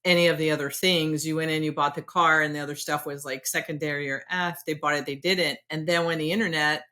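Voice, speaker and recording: A woman hosts a podcast interview, chatting with easy, rambling excitement. Her pitch sits low, yet her tone is bright and forward. She often laughs at herself as she talks. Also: fast talker. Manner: excitable, rambling, self-deprecating. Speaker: podcast host. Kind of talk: casual interview chat.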